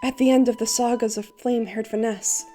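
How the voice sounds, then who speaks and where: Boyish bard god for a manga dub, gentle and playful, lyrical, mellow and lightly high female actor. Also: steady tone, a little fast, soft background music, lightly acted. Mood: lyrical, reverent. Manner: lyrical, poised storytelling. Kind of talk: theatrical fan manga dub narration.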